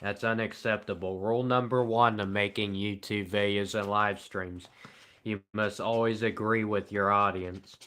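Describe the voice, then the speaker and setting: Deadpan monotone male streamer. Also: fairly low pitch, clear, resonant tone. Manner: long pauses between remarks. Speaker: live streamer. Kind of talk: casual live-stream chat answering comments.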